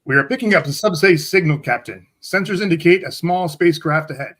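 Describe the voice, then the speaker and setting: A man's voice: on the high side, brisk, a bit bright. A man playing a dutiful starship security officer in an amateur radio drama, giving terse, clipped reports. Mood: businesslike. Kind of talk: amateur radio theatre reenactment.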